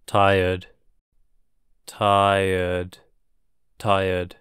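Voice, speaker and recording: Slow, flat male teacher for repeat-after-me drills, each word said three times. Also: deep voice, smooth, no echo, studio quality. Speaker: English teacher. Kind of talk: slow drilled vocabulary reading.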